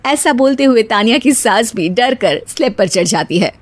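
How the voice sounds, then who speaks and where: Brief: woman storyteller, high and animated, dry close sound. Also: moderate pace, no echo. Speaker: narrator. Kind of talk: scripted storytelling narration.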